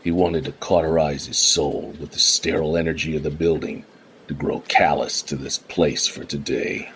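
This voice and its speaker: A man narrating a noir radio play in a measured, even storytelling way. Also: a bass voice, a little hiss or hum. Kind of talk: scripted narration.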